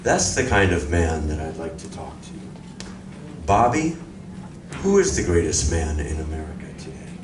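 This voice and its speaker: A man's voice: a bass voice, big pitch swings, music in the background. A man acting a mild, curious visitor from another planet in a stage radio drama, speaking politely and formally. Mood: earnest. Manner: polite, measured, formal phrasing. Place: community room of a public library. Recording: roomy, distant, noisy live library recording.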